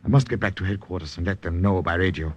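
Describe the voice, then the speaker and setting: An actor playing a troubled, weary enemy officer in an old radio drama, with a halting, emotional delivery. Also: male voice, deep voice, noisy background, lightly acted. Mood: agitated. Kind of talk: 1940s-50s radio drama acting. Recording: dull old radio recording, loud music.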